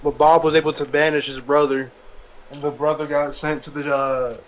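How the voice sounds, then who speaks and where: Slightly high-voiced man rambling through stories. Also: level tone, moderate pace, lo-fi sound, a little hiss or hum. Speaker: let's play gamer. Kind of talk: casual joking group chat while gaming.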